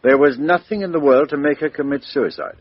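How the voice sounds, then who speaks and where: An actor playing a businesslike, methodical British police inspector in a radio drama, putting questions with small hesitant lead-ins. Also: male voice, a little high, brisk, breathy edge, no echo. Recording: dull, lo-fi radio drama recording.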